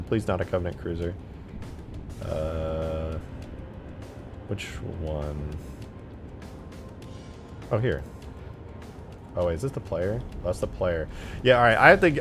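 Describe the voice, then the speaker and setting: A man's voice: fairly low pitch, fairly bright tone. A man livestreaming as a game modder, chatting casually in a rambling, jokey way as he answers viewers. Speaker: live streamer and game modder.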